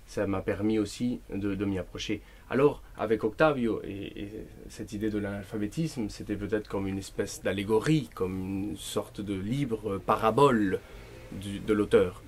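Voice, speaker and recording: A man whose first language is Spanish, an author talking at a bookshop event, thoughtful and deliberate, often restarting his words. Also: lowish voice, breathy edge. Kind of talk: bookshop talk, reading and answering.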